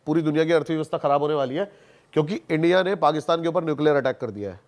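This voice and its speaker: A man's voice: a little high, rapid-fire, a little mellow. A man in casual podcast conversation, telling stories and explaining things emphatically, repeating words for stress. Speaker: podcast guest.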